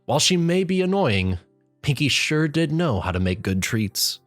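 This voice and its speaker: A storyteller reads scripted comic narration in a medium-pitched voice. The delivery is wry and exasperated. Soft music runs underneath. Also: sing-song, normal speed, no echo. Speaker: fan fiction narrator. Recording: music behind the voice.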